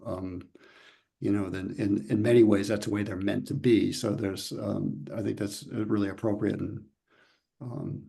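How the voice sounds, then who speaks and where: A man's voice: lowish voice, creaky, dark tone, slightly rough. A man at a gallery talk, appreciative of a fellow artist, rambling with fillers. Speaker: exhibiting artist.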